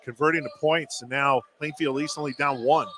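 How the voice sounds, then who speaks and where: A man's voice: a little high, steady tone, fairly quick, fairly bright tone. Male color commentator in noisy gym. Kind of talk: live basketball analysis, conversational. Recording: noisy gymnasium background. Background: basketball gym ambience, bouncing balls.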